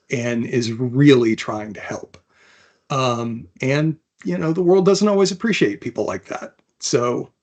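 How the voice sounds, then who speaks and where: A man with a medium-pitched voice speaks as a guest author. He has a thoughtful, unassuming delivery, suited to explaining or reading his own fantasy fiction.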